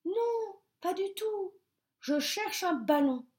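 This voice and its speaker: A small, clumsy bear cub in a picture book, eager to help yet a little sheepish, as a woman reading aloud puts him on. The voice is high and lively. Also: unhurried.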